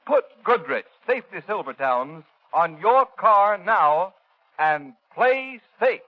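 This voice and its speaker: A man delivering a scripted, emphatic and persuasive sales pitch as a 1930s radio announcer. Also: big pitch swings, slow. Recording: dull, band-limited 1930s radio recording.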